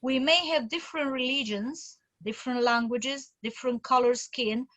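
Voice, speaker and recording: A woman's voice: sits high, normal speed, fairly bright tone, dry recording. A woman from Romania, giving an informal, enthusiastic talk on a video call, with many fillers and restarts. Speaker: community speaker at an online meeting.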